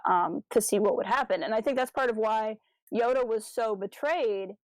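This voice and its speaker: A woman's voice: on the high side, normal speed, dry recording, poor audio. A woman co-hosting a podcast, talking through ideas in a casual, discursive way. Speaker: podcast co-host.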